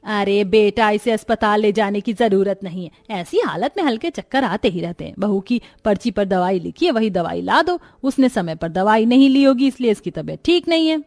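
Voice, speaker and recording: A woman's voice: medium pitch, lively intonation, moderate pace, few pauses, a little mellow, dry recording, clean studio sound, close to an everyday voice. A woman voicing a stingy, scolding middle-aged mother-in-law in a cartoon story, with a bossy, nagging manner. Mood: dismissive. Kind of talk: Hindi cartoon story voice acting.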